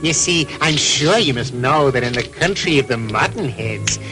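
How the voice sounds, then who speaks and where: A man's voice: mid-range voice, animated, moderate pace, lightly acted. A sly, falsely kindly animal swindler voiced by a man with an oily, coaxing delivery. The voice swoops theatrically through an old dubbed cartoon, over background music. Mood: persuasive. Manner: wheedling, theatrical, oily, repeats phrases. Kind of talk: dubbed vintage cartoon acting.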